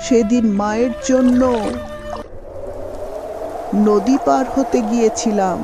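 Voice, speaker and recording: A woman playing a vengeful, bloodthirsty river witch ghost in a horror cartoon, with a drawn-out, menacing delivery. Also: fairly high pitch, steady tone. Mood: sorrowful, relenting. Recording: music behind the voice.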